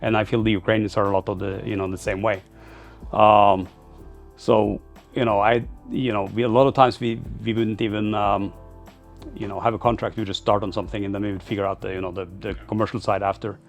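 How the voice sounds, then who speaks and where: A man speaks in a fairly low voice with a clear creak to it. He answers interview questions informally, as a company founder would, circling through his thoughts with loose, hesitant phrasing. Faint music sits behind him. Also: flat delivery, fairly quick. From Norway. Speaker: startup founder being interviewed.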